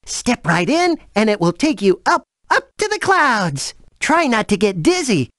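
A woman voicing an excitable, friendly fairy-tale character in a video game, theatrical and dramatic. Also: a little high, measured pace, no echo, studio quality. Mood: excited, silly. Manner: theatrical, animated.

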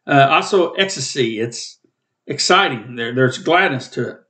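A man in his late fifties with a rough, coughing throat, giving a rambling, plainspoken Bible study from home over a webcam. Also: medium pitch, animated, moderate pace, pushed and bright. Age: 50s. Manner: rambling, plainspoken, self-corrects. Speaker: Bible teacher and channel host. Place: small room at home, indoors.

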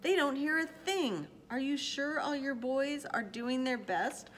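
A woman's voice: high-pitched, big pitch swings, slow, a bit bright, big-room sound, slight background noise, pitched above the performer's own voice, heavily acted. A woman voicing an earnest, big-hearted male elephant from a storybook, calling out urgently and imploringly.

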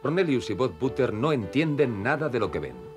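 A man's voice: medium pitch, very animated, normal speed. A man narrating a children's cartoon in a playful storytelling manner, with music behind.

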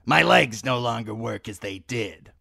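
A man's voice: mid-range voice, animated, unhurried, vocal fry, pushed and bright, no echo, clean studio sound, pitched above the performer's own voice, exaggerated voice. A man voicing a crude, sly, very old lord who mocks his guests in a wheezy voice. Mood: mocking.

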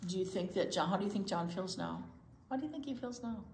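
An older woman reads a picture book to children with asides, expressive and often dropping to a hushed near-whisper. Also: medium pitch, fast. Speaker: children's library storytime reader. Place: indoor children's library storytime corner. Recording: roomy echo, faint background noise.

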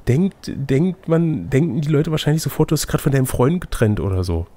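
A man's voice: medium pitch, sing-song, a little fast, dark tone. A man reading a fantasy gamebook aloud as game master, with casual, teasing asides.

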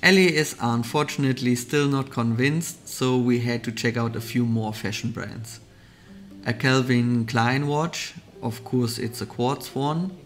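A man talks through watch picks in a scripted vlog voice-over at an everyday pitch. He explains each option with keen, explanatory enthusiasm. Soft background music sits under his voice. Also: measured pace. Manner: enthusiastic, explanatory product commentary. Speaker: vlogger, watch channel host.

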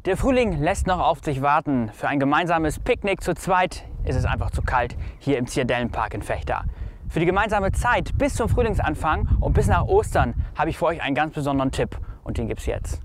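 A man's voice: on the high side, big pitch swings, fairly quick, background noise. A young man presenting a scripted advertising message straight to the audience. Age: young adult. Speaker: promotional presenter.

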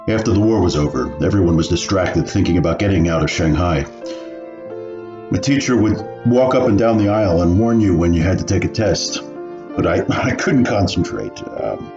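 An actor playing a wry elderly man haltingly recalling his schooldays in a radio play. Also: sits low. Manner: halting, reminiscing. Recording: muffled, roomy, music underneath.

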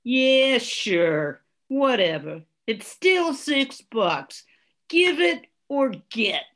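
A woman's voice: high voice, big pitch swings, very slow, a bit bright, heavily acted. An older woman playing a bored, blunt, dry motel day manager in an old-time radio drama.

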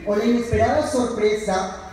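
A young man delivers a prepared graduation speech from a podium in an earnest, declamatory way. His voice is soft-edged and mellow. It reaches the listener from far off in a very echoey auditorium. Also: high-pitched, moderate pace. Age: young adult. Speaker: graduating psychology student giving a speech. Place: large university auditorium, indoors. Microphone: podium microphone, picked up by distant audience camera. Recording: echoey hall from far off, faint noise.

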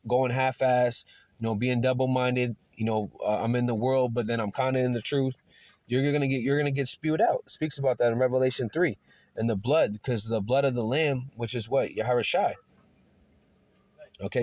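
A man teaching from scripture in a rambling way, full of fillers and rhetorical questions, reading verses and articles aloud haltingly. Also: medium pitch, darkish tone, dry recording. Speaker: preacher. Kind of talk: screen-recorded Bible teaching.

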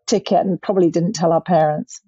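A woman in her sixties, a guest telling lively stories from her childhood over a video call. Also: on the low side, quick pace, husky, dry recording. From Scotland and southern England. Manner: lively, expressive, gestures a lot with her hands. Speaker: skincare brand founder, guest. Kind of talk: storytelling interview answers. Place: bright living room at home. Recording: dry, slightly dull video-call audio.

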